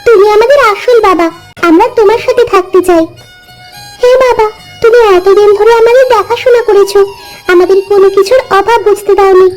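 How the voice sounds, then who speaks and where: A woman voicing an earnest, devoted twelve-year-old village girl in an animated moral story, speaking with insistent emotion. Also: extremely high pitch, a little fast, music in the background, poor audio. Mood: resolute, devoted.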